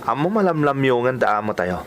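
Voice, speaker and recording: A man's voice: medium pitch, very animated, brisk, slight background noise, close to an everyday voice. A man acting a flirtatious, persuasive driver in a radio drama. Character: Lauro, flirtatious, persuasive male driver courting a coworker.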